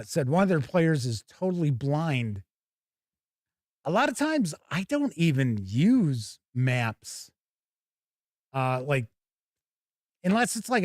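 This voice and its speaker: A man's voice: on the high side, no room sound, clean studio sound. A man hosting a tabletop gaming livestream, chatting casually and informally to viewers as he thinks aloud. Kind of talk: casual live unboxing chat to viewers.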